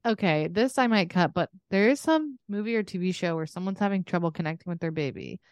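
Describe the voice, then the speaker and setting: Low-voiced woman in rambling, casual chat. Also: expressive, a little fast, clean tone, dry recording, lo-fi sound. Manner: informal, rambling, thinking out loud. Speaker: podcast co-host. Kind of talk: casual unscripted chat with a friend.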